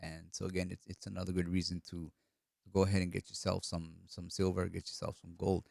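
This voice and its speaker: A man's voice: sits low, little pitch movement, a little fast, gravelly, a little mellow, no echo, clean studio sound. A middle-aged man from Hawaii with a cold, hosting a live stream, chatting loosely and answering viewer comments while he repeats his words. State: hoarse with a cold.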